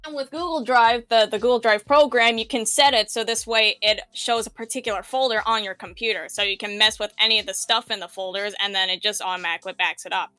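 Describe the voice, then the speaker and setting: Woman tutor, higher-pitched and very bright, casual chat, close dry sound over music. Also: fairly even intonation, fast, hardly any pauses, clear, resonant tone, no echo. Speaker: tutor guiding a visual novel project.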